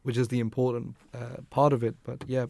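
A man's voice: mid-range voice, flat delivery, a little fast, vocal fry, a little mellow. A man co-hosting a radio show, reading poll results in a casual way, repeating words as he goes. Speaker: radio co-host. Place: radio studio.

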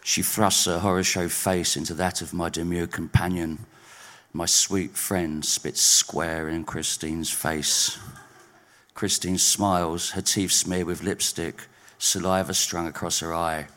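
A man reading his overheard-conversation stories at a live spoken-word night in a pub, wry and deadpan. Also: deep voice, slow. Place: pub venue stage.

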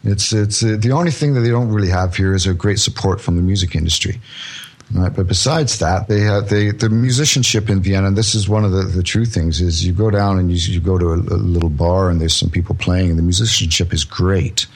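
A man with a very deep, slightly husky voice talks in a relaxed, conversational way. He restarts sentences often and laughs at his own jokes as he tells stories. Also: fairly quick. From born in Scotland, raised in Canada. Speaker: guest musician. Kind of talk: casual interview chat.

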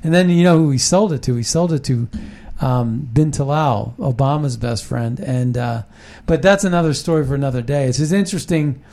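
A man's voice: medium pitch, normal speed, creaky, warm tone. A man hosting a casual political talk show, rambling and emphatic, often restarting his sentences.

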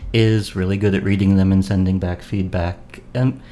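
A man from Maine chatting casually as a podcast guest host, unhurried and rambling with fillers. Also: low-pitched, fairly even intonation, mellow, a little hiss or hum. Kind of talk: casual chat.

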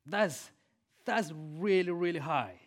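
A man preaching a sermon and leading a prayer, a native Swahili speaker who swings from loud emphatic peaks to soft quiet lines. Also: sits high, lively intonation, slow pace.

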